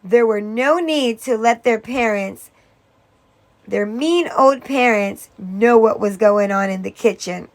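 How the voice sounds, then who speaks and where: A woman teacher reading a children's story aloud in a deliberate, expressive storytelling manner. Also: fairly high pitch.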